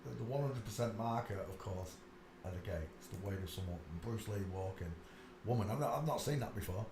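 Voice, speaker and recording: A man's voice: fairly low pitch, fairly quick, background noise. Man rambling in an echoey room. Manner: insistent, emphatic, trailing asides with many "uh"s. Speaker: channel host. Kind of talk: casual rambling monologue over pictures. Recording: echoey room with faint ambience.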